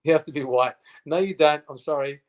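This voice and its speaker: A man's voice: on the high side, brisk. A man in his fifties, a guest in a casual interview, who speaks emphatically with many fillers and restarts. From Australia. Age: 50s.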